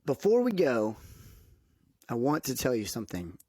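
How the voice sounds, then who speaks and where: A man co-hosting a casual music podcast, telling stories emphatically with halting restarts, on a close microphone. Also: mid-range voice, wildly swooping pitch, warm tone, no echo. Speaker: podcast co-host.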